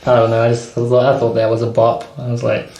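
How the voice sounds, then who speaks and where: A man's voice: medium pitch, completely flat delivery, a little fast, vocal fry, crowd noise. A man with a mid-range voice chats casually on a podcast. He hesitates, fills gaps with fillers and laughs often. The room gives his voice a noticeable echo. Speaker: podcast co-host. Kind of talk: casual chat.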